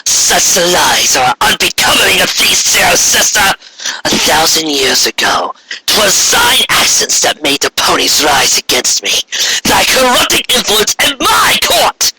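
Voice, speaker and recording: Man voicing an imperious high-pitched tyrant pony queen. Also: sing-song, a little slow, background noise, full character voice. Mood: angry accusing. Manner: grandiose, commanding, archaic speech.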